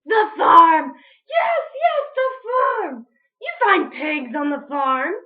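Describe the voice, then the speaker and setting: A woman voicing a greedy, bossy female witch who shrieks and shouts her demands, heard on a dull lo-fi recording. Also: very high voice, very animated, slow pace, voice pushed up, exaggerated voice. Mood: scheming.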